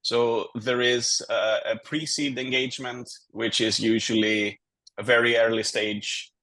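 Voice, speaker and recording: A man from Greece talking conversationally as a consultant and guest in a business interview, candid and measured, laying out his points in order. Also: mid-range voice, little pitch movement, pushed and bright.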